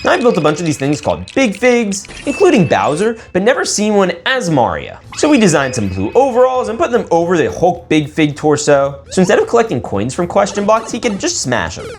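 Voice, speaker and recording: A man's voice: high-pitched, huge pitch swings, a little fast, hardly any pauses, faint music behind. A young man narrating a video in a casual, slangy, jokey and exclamatory way. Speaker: video host. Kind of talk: casual scripted vlog narration.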